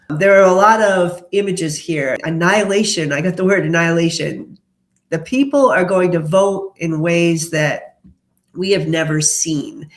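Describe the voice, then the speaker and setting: A tarot reader speaks at a medium pitch in a roomy, echoing space. The delivery mixes short, declarative pronouncements with chatty explanation, in the style of a solo prediction show. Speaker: tarot reader, host of the channel. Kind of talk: tarot prediction monologue mixing read-out notes and casual commentary. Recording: roomy, echoing indoor sound.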